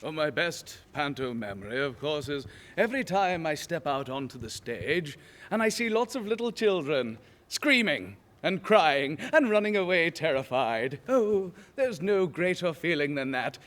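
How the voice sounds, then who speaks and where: A man's voice: fairly high pitch, very animated, unhurried, fairly bright tone. A man playing a boastful, child-hating pantomime sorcerer, speaking with theatrical menace in character. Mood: gloating relish. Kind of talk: in-character pantomime villain interview. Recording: long hall reverb.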